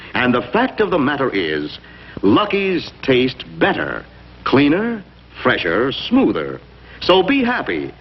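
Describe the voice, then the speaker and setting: An American man narrating a 1950s cigarette commercial with an emphatic, measured salesman's delivery. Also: medium pitch, breathy edge. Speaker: commercial announcer. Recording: dull 1950s broadcast, music behind. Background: music.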